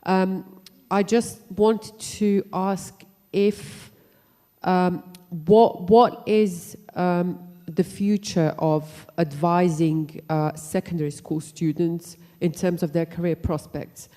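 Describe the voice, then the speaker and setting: A woman from Croatia asking a panel a question from the audience, pausing often to find her words. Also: lowish voice, steady tone, mellow. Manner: hesitant, searching for words. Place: lecture hall. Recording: long hall reverb.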